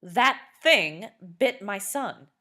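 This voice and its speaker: A woman's voice: medium pitch, animated, very slow, pitched above the performer's own voice, exaggerated voice. A woman voicing an angry neighbor man who bellows and accuses in a storybook reading. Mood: furious. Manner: shouting, indignant.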